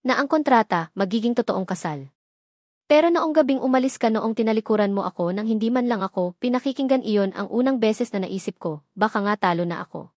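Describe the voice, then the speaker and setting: A woman's voice: a little high, expressive, fairly quick, a little mellow, no echo, clean studio sound. Wounded young husband, bitter and raw, read by a woman storyteller. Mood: hurt. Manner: bitter, then breaking and hurt.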